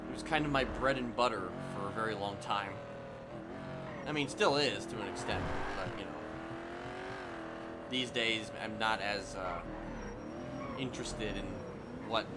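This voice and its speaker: A man's voice: fairly low pitch, a little slow, gravelly, long pauses, very noisy. A man chatting casually on a livestream in a rambling, hedging, conversational way. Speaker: live streamer. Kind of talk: casual chat during a game livestream.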